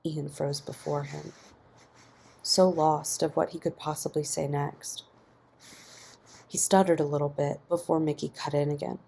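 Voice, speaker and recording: A woman's voice: low voice, level tone, measured pace, creaky, pauses often, warm tone, husky. A woman reading a fan fiction story aloud as scripted narration.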